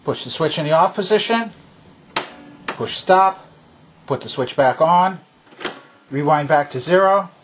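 A man presenting a hands-on demonstration in a methodical, explanatory way, with a slightly husky voice. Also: on the high side, lively intonation, measured pace, pauses often, a bit bright, slight background noise. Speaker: presenter demonstrating vintage tape recorder. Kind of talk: instructional demonstration narration. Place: indoor home workbench.

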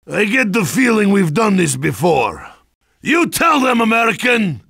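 A boozy, sardonic Russian soldier for a video game. His voice sits high and swings widely, with a forceful edge. He blusters and mocks in thick Russian-accented broken English, dropping his articles. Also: huge pitch swings, unhurried, gravelly, husky, dry recording, clean studio sound, full character voice. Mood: teasing, proud. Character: hard-drinking boisterous Russian soldier, gruff and sardonic. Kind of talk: video game character barks.

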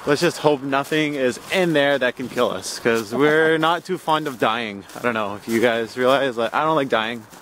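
A man's voice: mid-range voice, moderate pace, hardly any pauses, fairly bright tone, a little hiss or hum. A man in his twenties vlogging to his viewers, upbeat and hyped, filming himself outdoors in the woods at arm's length. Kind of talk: energetic vlog shout-outs and hiking commentary. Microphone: handheld camera at arm's length.

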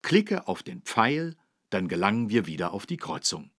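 A man playing a friendly policeman who courteously and clearly explains things to children in a learning game. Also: lowish voice, sing-song, moderate pace, fairly bright tone. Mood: instructive, patient. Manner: instructive, courteous, clearly enunciated. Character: friendly adult male policeman explaining traffic rules to children.

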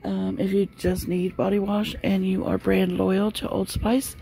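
A woman's voice: mid-range voice, level tone, a little slow, creaky, warm tone, rough recording. A middle-aged woman chatting casually as she films a walk through a large store, talking loosely with many fillers. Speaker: shopping vlogger. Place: inside a large discount store. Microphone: handheld phone camera.

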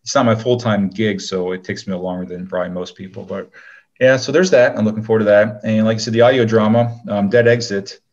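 Guy with a lowish voice chatting in an interview, kinda rambly, lots of pauses. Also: fairly even intonation, a little mellow, dull audio. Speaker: actor, interview guest. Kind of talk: casual interview chat.

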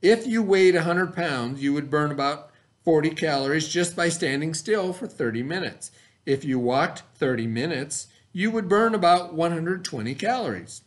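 A middle-aged American man, an elementary teacher, reading a lesson aloud to children in an expressive, instructional manner. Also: a little high. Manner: expressive, instructional, pauses to ask the listener questions. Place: small indoor classroom, at a desk.

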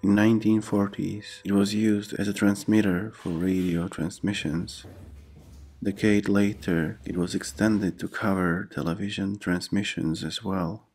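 Low monotone guy narrating a documentary, kinda husky, steady pace. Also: unhurried.

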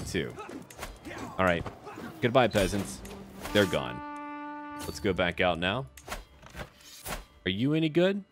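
A man giving casual game commentary with light role-play, musing aloud in short, clipped remarks. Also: low-pitched. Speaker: gaming YouTuber. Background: background music.

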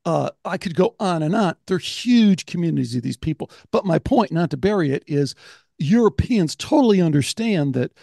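A man's voice: fairly high pitch, normal speed, gravelly, no room sound. A man in his sixties, a podcast host, chatting animatedly on a video call into a studio mic. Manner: animated storyteller, quotes others in voices. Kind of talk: casual conversation. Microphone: large studio mic on arm.

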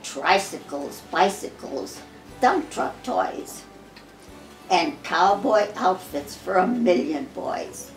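An elderly American woman reads a storybook poem aloud at an unhurried pace. Her voice swings widely in pitch and is expressive, stumbling now and then. She is recorded in a roomy living room with music behind her. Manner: measured, expressive storybook reading with occasional stumbles. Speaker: grandmother reading to family. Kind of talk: reading a Christmas poem aloud.

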